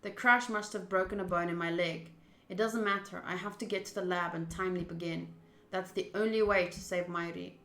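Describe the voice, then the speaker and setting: A woman's voice: fairly low pitch, steady tone, a little fast, a bit bright. A woman streamer reading a visual novel aloud expressively, with casual asides. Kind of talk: dramatic read-aloud of game text with casual asides. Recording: roomy, echoing sound.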